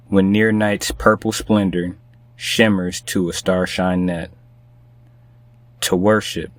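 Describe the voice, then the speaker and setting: A man's voice: sits low, no echo. A man reading a poem aloud as a recitation. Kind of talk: poetry recitation.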